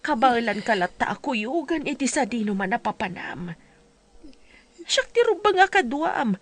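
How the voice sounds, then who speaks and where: Casting: actress as anxious, doting Ilocano mum, highish husky voice, very emotional swings, radio drama over music. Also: female voice, sing-song, a little slow. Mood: hurt, pleading. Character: worried, doting older mother, pleading and hurt. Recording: music behind the voice.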